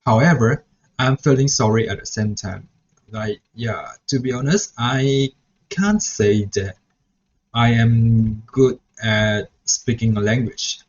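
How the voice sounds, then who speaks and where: A young man who grew up speaking Mandarin, talks through a reflection vlog as a student teacher, hesitant and full of "so yeah" restarts. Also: fairly low pitch. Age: young adult. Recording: dull lo-fi recording.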